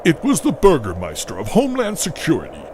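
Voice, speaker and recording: A man's voice: a little high, wildly swooping pitch, a little fast. A man playing an aggrieved, earnest old Santa Claus in a comic audio play, speaking theatrically. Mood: forlorn. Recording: clearly noisy background.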